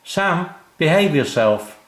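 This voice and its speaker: A kindly, fretful storybook mum, acted by a man, with a lightly raised pitch. She sounds hesitant and motherly in a roomy recording. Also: very animated, takes it slowly, exaggerated voice. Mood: scolding. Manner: worried, hesitant, gently scolding.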